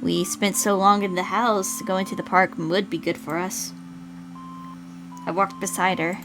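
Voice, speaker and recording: A woman's voice: medium pitch, fairly even intonation, a little slow, over music, lightly acted, low-quality recording. A woman reading as a brooding, dependent young man narrating a visual novel, introspective and earnest. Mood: regretful.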